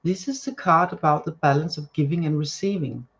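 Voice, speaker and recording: A woman with a Danish accent, whose first language is Danish, giving a live tarot reading to viewers and often pausing to search for words. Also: deep voice, slightly rough, highs cut off. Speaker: tarot card reader. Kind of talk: live-stream card reading, chatting to viewers.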